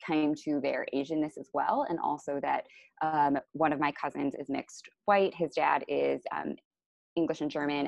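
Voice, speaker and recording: A young woman from the Chicago area presenting over slides on a video call, relaxed and full of ums and self-corrections. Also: sits low, gravelly, no room sound. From Chicago area, USA. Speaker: panelist. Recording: dull lo-fi video call audio.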